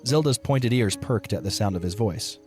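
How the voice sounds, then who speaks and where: Narrator, male: somewhat low pitch, scripted storytelling read, faint music bed beneath. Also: animated, normal speed, a little mellow.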